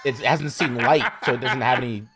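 A man chatting casually as a podcast panelist, critiquing films in an animated, sarcastic way. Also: mid-range voice, brisk. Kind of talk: casual film criticism chat.

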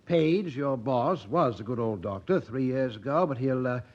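An actor playing a cynical, hard-drinking, witty doctor in a vintage radio play, with a breezy, ironic, theatrical delivery. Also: male voice, medium pitch, lively intonation, normal speed, close to an everyday voice. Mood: breezy, sardonic. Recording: dull old radio broadcast recording.

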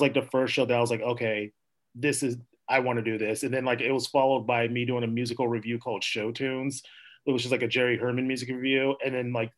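A young man from Minnesota, an actor and playwright, chatting as a podcast guest in a rambling way with many fillers and laughs. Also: mid-range voice. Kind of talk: casual interview chat.

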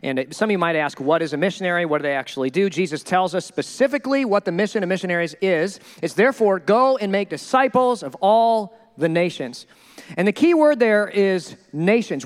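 A male pastor preaching a sermon in an animated, joking way, acting out the people he quotes. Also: sits high, fairly quick, long reverb tail. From Minnesota.

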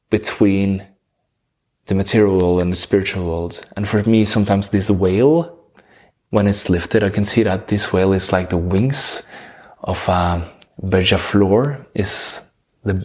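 A man from Norway with a Norwegian accent, talking slowly about spirituality and surrender, pausing and correcting himself as he searches for words. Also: fairly low pitch, a little mellow. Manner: slow, searching, with many pauses and self-corrections. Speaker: spiritual speaker. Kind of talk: reflective spiritual talk.

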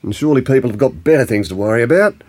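A man's voice: medium pitch, lively intonation, rapid-fire, darkish tone, slight background noise, close to an everyday voice. A man playing a blunt, teasing old Australian grandfather in a naturalistic audio drama. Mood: indignant. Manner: blunt colloquial speech, teasing, ends phrases with "eh".

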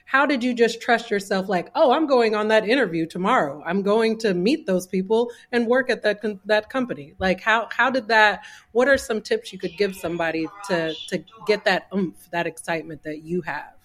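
A woman's voice: medium pitch, moderate pace, few pauses. A woman interviewing a guest, asking long questions with many "like"s in an eager, searching way.

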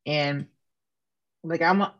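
A woman's voice: low voice, slow pace, a bit bright, highs cut off. A woman in her thirties, a podcast guest talking candidly and joking about herself in casual chat. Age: 30s. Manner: candid, self-mocking, jokey.

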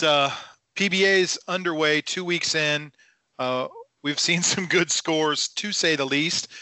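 Very bright-voiced man hosting sports podcast. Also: medium pitch, no room sound. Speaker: podcast host. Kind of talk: upbeat sports talk, hosting.

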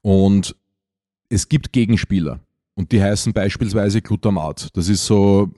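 A man's voice: lowish voice, a little slow, dark tone, no room sound, studio quality. A man with an Austrian accent co-hosting a podcast, explaining things informally and colloquially, often restarting his sentences as he goes. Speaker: podcast co-host.

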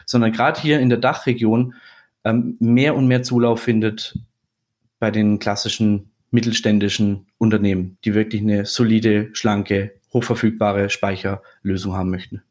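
Relaxed, joking German man from Swabia. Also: fairly low pitch, moderate pace. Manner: relaxed and joking, then fluent in explaining products. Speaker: podcast guest, regional business manager. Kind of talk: casual podcast interview.

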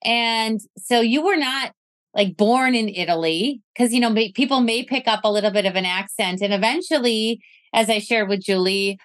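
A woman hosting a recorded video-call interview, speaking in a measured, affirming, reflective way. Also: a little high, a bit bright. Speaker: podcast host.

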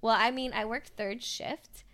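A woman co-hosting a casual chat podcast, hoarse and raspy from a cold, joking and laughing often. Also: on the high side, fairly even intonation, measured pace, a bit bright. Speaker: podcast co-host.

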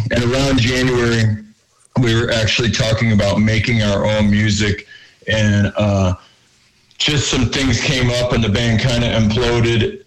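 A man who sings in a rock band, talking casually in an interview with a lower voice and lots of fillers. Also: low-quality recording. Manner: rambling, chatty, many fillers. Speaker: band singer.

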